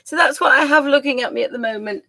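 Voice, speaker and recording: A woman hosting a live stream, chatting casually and rambling with a croaky voice, often laughing and trailing off. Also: sits high, fast, sharp tone.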